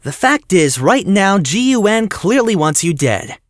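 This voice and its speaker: A woman's voice: medium pitch, sing-song, unhurried, dry recording, clean studio sound. A woman playing an earnest, protective young hero in an audio drama, speaking insistently.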